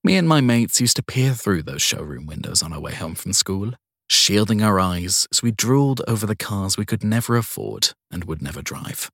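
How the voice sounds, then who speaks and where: A man narrating a first-person crime story as an audiobook, in an expressive storytelling manner. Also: lowish voice, normal speed, no room sound, clean studio sound.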